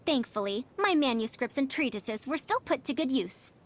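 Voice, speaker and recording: A woman's voice: sits high, animated, normal speed. A woman voicing a proud, fussy century-old scholar with a youthful girlish voice, pompous and lecturing, for a video game. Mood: proud, resolute. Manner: pompous, lecturing, old-fashioned elder phrasing. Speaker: game character voice. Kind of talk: animated video game voice lines.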